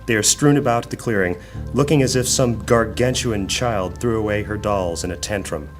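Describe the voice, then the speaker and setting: A man narrating a scripted fantasy audio drama in a deliberate, descriptive storytelling manner. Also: on the low side, soft background music.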